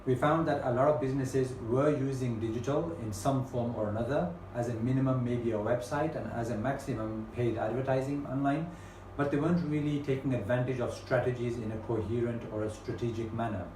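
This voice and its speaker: A man with a British accent presents a webinar in a measured, unhurried way, often pausing on "um" and "uh". His voice sits at an ordinary pitch. It sounds echoey, picked up from a distance with faint background noise. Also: fairly even intonation, darkish tone. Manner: measured, with frequent ums and uhs. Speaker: webinar host. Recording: echoey room, mic at a distance.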